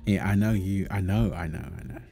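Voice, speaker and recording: Guy acting as a chill young dad in an audio roleplay, soft warm low voice, gentle and teasing with his wife and baby. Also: fairly even intonation, brisk, soft background music. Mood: reassuring. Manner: gentle, soothing, chuckling, talking to partner and baby. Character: calm, teasing, reassuring young husband and father. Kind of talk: intimate audio roleplay, natural chatty acting.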